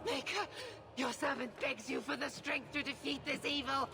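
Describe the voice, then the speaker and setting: A woman playing a devout, defiant templar commander in a video game, crying out drawn-out, declamatory prayers in desperation. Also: sits high, steady tone, vocal fry. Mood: desperate prayer. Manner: declamatory, drawn-out praying cries. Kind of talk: video game dramatic acting.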